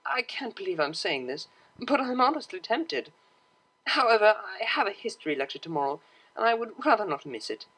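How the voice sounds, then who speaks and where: A woman's voice: mid-range voice, very animated, normal speed, fairly bright tone, breathy edge, pitched above the performer's own voice, rough recording. A woman voicing a refined, polite young mare cellist in a pony story, awkward and earnest, with prim formal wording. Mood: prim, reluctant.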